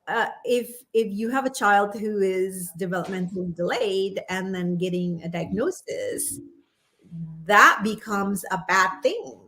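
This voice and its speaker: A woman's voice: medium pitch, poor audio. A woman in her forties from Indonesia, a podcast guest chatting casually and laughing often. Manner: animated, laughs often, quick asides. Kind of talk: casual interview chat.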